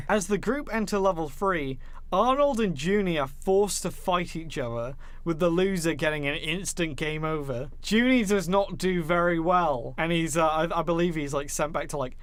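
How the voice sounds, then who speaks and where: A man with a fairly high voice hosts a film podcast. He recaps and comments casually, using slang and the odd swear word in an informal, conversational flow. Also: normal speed, fairly bright tone, dry recording. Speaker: podcast host.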